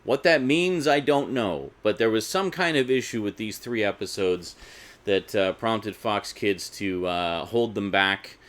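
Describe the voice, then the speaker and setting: Male collector-vlog host, lowish voice, casual and chatty, unscripted delivery with informal asides. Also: unhurried, a bit bright. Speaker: video host reviewing a DVD collection.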